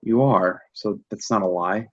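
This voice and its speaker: A man acting a shaken, confused husband in grief in a staged play reading, speaking haltingly and repeating himself. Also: on the low side, a bit bright, husky, muffled recording. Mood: subdued, anxious. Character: shaken, confused husband grieving a lost pregnancy.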